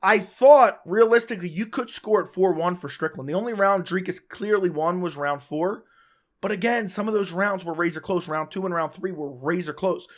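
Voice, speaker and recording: A man with a fairly high voice talks straight to his audience, hoarse and worn because he is sick and losing his voice. He runs through his take in a casual, opinionated way, rushing through some stretches and stumbling over a word now and then. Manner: casual, opinionated, talks fast in bursts with restarts. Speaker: MMA commentator, channel host. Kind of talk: solo post-fight recap to camera.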